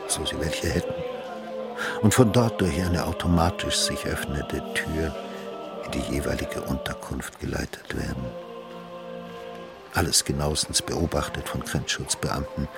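An actor playing a coolly calculating male security consultant with a measured, persuasive manner in a radio drama. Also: a bass voice, gravelly. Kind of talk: radio drama narration and dialogue.

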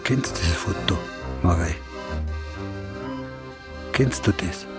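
An actor playing an older, weakened but insistent hospital patient in a radio drama. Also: male voice, low voice, expressive, slow, over music, exaggerated voice. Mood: urgent, grave.

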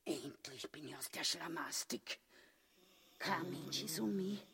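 A man's voice: on the high side, measured pace, creaky, pushed and bright, slightly rough, heavily acted. A man playing a crude, greedy, scheming wife in a grotesque stage comedy, ranting theatrically and self-pityingly in Austrian dialect. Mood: weary self-pity. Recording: echoey hall with long reverb.

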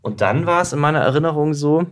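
A man's voice: on the high side, expressive, quick pace. A man of around 30 from Freising near Munich, talking casually and hesitantly as he recalls his own story. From Freising near Munich, Germany. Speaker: podcast guest telling his own story. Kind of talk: casual conversation.

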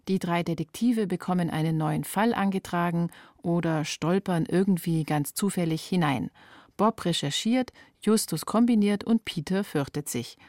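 Lowish-voiced female radio reporter. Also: a little mellow, dry recording, clean studio sound. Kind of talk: scripted radio feature narration.

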